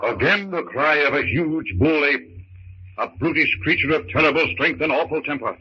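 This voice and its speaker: A man narrating an adventure serial in an excited, dramatic 1930s radio style, like urgent play-by-play. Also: a little high, moderate pace. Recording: muffled, hissy 1930s radio recording.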